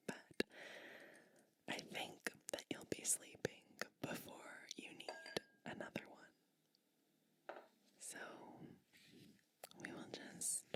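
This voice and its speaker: Woman as a softly whispering, soothing witch. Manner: soft, soothing, slow and deliberate. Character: gentle, caring witch soothing someone to sleep. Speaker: ASMR performer. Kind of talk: whispered ASMR personal attention roleplay.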